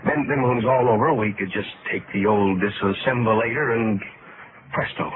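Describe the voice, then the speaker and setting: A man's voice: on the low side, normal speed, close to an everyday voice. Actor as nervous, meek man on tinny old radio. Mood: self-reproachful, excited. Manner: hesitant, flustered, dryly sarcastic. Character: meek, self-doubting, henpecked bachelor lawyer, sardonic and nervous. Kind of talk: 1950s radio drama acting. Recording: noisy, tinny old-time radio recording.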